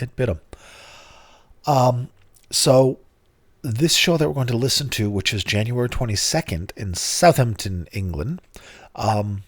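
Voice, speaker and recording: A middle-aged man hosting his own podcast in casual, grumpy, self-mocking talk, his voice hoarse and lower than usual from bronchitis. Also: dry recording. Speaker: podcast host.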